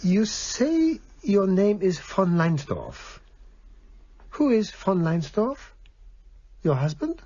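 An actor playing a gentle, probing Viennese doctor in a radio drama, formal and precise in his questions. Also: high-pitched, huge pitch swings, slow pace, long pauses, husky, full character voice. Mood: calm, probing. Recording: slightly dull radio recording.

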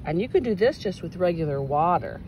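A woman's voice: lowish voice, very animated, fairly quick, warm tone, poor audio. A woman teacher giving a hands-on demonstration to children and parents, exclaiming and coaxing with questions, recorded outdoors on a windy day. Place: outdoors in wind and sun.

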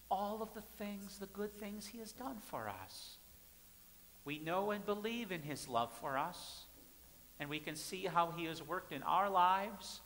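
High-voiced pastor preaching in a reverberant church. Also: male voice. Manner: emphatic preaching, repeating phrases, swings between loud and soft. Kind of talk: sermon. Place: large church sanctuary. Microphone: pulpit mic, far camera.

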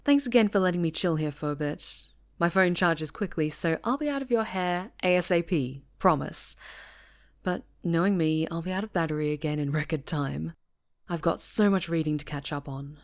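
A woman voicing a friendly young woman camping alone on a retreat, chatty and teasing and quick to laugh, in a scripted audio roleplay. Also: lowish voice, natural voice. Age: young adult. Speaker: ASMR roleplay performer.

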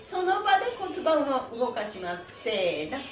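A woman hosting a livestreamed exercise class, chatting to viewers in a cheerful, energetic, polite presenter manner. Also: high-pitched, measured pace. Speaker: host of a livestreamed stretching class, pantomime performer. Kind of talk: upbeat exercise instruction and casual livestream chat to viewers. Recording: roomy echo, slight background noise.

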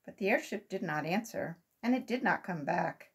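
A woman's voice: on the low side, animated, normal speed, slightly rough. An older woman reading a children's story aloud expressively. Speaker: volunteer children's story reader. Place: small room at home.

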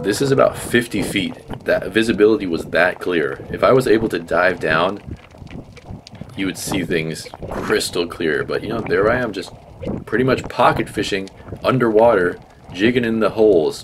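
A man's voice: lowish voice, a little hiss or hum, low-quality recording. A man in his thirties narrating his own fishing video with enthusiasm, explaining as he goes. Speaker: fishing vlogger. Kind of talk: casual voice-over narration.

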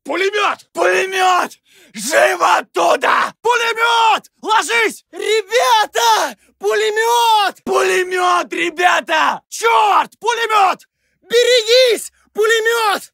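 Screaming, gravelly Russian soldier. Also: male voice, very high-pitched, slow pace, dry recording, studio quality, some chatter behind, heavily acted. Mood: urgent alarm. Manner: urgent, terse shouting. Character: alarmed Russian First World War soldier warning comrades. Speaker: video game voice actor. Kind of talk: shouted battlefield alert barks.

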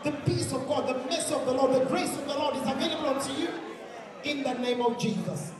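High-pitched Nigerian woman MC, echoing hall. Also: quick pace, recorded from a distance, crowd noise. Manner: commanding, theatrical, exhortative. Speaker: master of ceremonies at a traditional wedding. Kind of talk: live event hosting with prayers and chants. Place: large indoor event hall. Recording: echoey PA in crowded hall, music behind.

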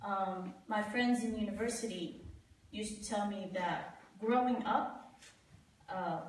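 A young woman from Somalia tells stories on a festival panel and acts out the people she quotes. She sounds far from the mic, and her voice echoes around a large hall with a faint hiss underneath. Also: medium pitch. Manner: animated storyteller, quoting others. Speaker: panel speaker. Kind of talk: panel discussion. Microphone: far camera.

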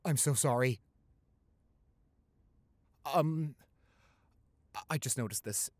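A man's voice: medium pitch, takes it slowly, no echo. Tender young boyfriend, acted by a man, dry close sound. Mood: panicked, apologetic. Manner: tender, chatty, stammering when flustered. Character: affectionate, flustered young college-age boyfriend. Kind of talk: improvised intimate boyfriend roleplay audio.

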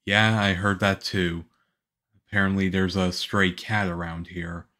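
A man playing a calm, reassuring young government agent in an ASMR roleplay, speaking softly. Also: deep voice. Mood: relieved, wry. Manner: soft-spoken, unhurried, reassuring.

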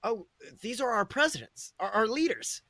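Friendly, eager male park visitor, voiced by a male narrator, high-pitched and chatty, comedic storytelling, dry sound. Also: lively intonation, normal speed, bright, forceful tone, no room sound, exaggerated voice. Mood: excited. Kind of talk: comedic fan fiction reading.

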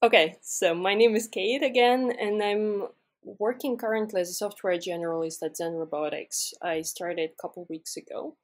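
A woman's voice: medium pitch, normal speed. A young woman from Russia, a guest on an interview podcast, explaining her work in a friendly way with frequent "uh" and "um". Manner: friendly, explains step by step with fillers. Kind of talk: casual interview answers.

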